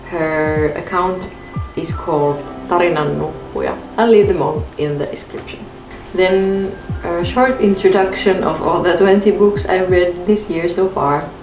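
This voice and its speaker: A young woman whose first language is Finnish reads through a book tag to camera in a calm, measured way from her bedroom. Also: lowish voice, reverberant, rough recording. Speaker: book vlogger. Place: bedroom in front of a bookshelf, indoors.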